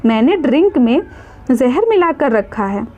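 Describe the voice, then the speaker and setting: A woman's voice: high-pitched, big pitch swings, measured pace, narrow, tinny audio. A woman playing a scheming, sweet-talking husband in a dramatic story. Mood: secretive plotting. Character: scheming, smooth-talking adult husband plotting murder.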